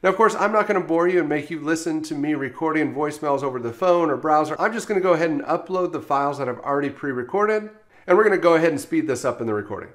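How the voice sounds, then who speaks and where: Male software trainer, slightly higher register, explanatory step-by-step delivery for instructional screencast narration. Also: lively intonation, fast.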